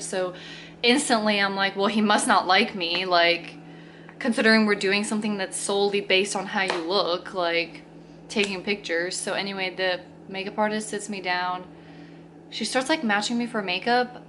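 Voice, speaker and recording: A young woman in her twenties talks straight to camera in a loose, chatty way. She keeps stopping to restart her sentences and laughs a little along the way. Her voice sits at an ordinary pitch, and there is a faint background hiss. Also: steady tone, moderate pace. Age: 20s. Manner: chatty, many fillers and restarts, frequent laughs. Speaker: vlogger. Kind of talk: casual storytime chat.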